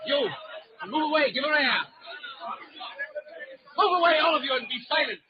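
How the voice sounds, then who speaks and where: High, shouting radio policeman. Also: moderate pace. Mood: commanding. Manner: shouting orders. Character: forceful, commanding male police officer. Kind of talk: old-time radio adventure serial acting. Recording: muffled radio recording, loud crowd and noise.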